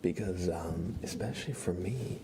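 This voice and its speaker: A man doing casual ASMR chat as a live streamer, murmuring and whispering softly and gently, with trailing pauses. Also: background noise. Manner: soft, breathy whispering and low murmuring.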